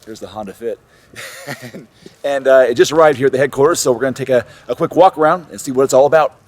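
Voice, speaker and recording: A man's voice: mid-range voice, quick pace, darkish tone, a little hiss or hum. A man in his forties who is losing his voice to a cold, casually talking through a car walkaround on a handheld camera, upbeat and thinking aloud. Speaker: car reviewer. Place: outdoors on a street and inside a parked car. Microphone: handheld camera at arm's length.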